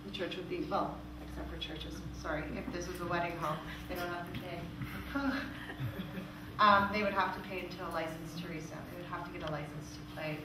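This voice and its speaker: A woman's voice: lowish voice, steady tone, fairly quick, heavy background noise. Female presenter, explanatory and informal, recorded far from the mic in a very echoey room. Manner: conversational, explanatory. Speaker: presenter from a royalties organisation. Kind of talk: informal question-and-answer presentation. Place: echoey room at a live meeting.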